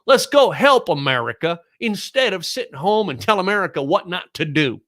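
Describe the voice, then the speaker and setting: A man's voice: sits high, big pitch swings, normal speed, sharp tone, voice pushed up, exaggerated voice. A man voicing a folksy Texan male governor in an attempted Texas accent, drawling and declaratory. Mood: rousing.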